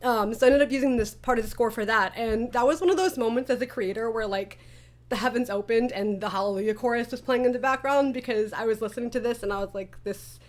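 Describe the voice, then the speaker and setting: A woman's voice: a little high, quick pace. Chatty woman hosting a casual interview. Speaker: audio drama creator and interview host.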